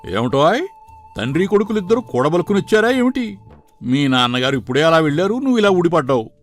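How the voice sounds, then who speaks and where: An actor playing a polite, chatty village temple priest who gently probes people in a moral story. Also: male voice, on the high side, big pitch swings, fast talker, faint music behind. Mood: teasing. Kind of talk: dramatised moral story dialogue.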